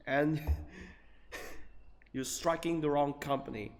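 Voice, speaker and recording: A man giving casual, rambling live commentary on a video game, blunt and sometimes profane, with halting delivery. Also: fairly high pitch. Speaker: game streamer. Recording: reverberant room, game music behind. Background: game music.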